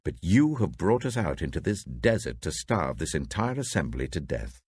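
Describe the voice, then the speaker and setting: A man's voice: low-pitched, sing-song, moderate pace, darkish tone, husky, no echo, exaggerated voice. A British man voicing hungry, bitter desert wanderers complaining in a scripture reading.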